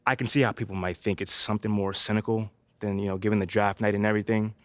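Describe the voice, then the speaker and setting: A man's voice: lowish voice, fairly even intonation, fast, clear, resonant tone, dry recording, natural voice. A man playing an easygoing young American basketball player in a video game, chatting casually and politely. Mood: sincere, earnest. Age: young adult. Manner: laid-back, friendly, informal slangy talk. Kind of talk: casual conversational video game cutscene acting.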